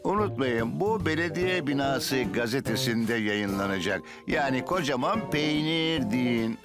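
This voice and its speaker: A man's voice: medium pitch, expressive, measured pace, lightly acted. A man voicing a fussy, self-important townsman in a children's cartoon. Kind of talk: animated children's cartoon dubbing. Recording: music under the voice.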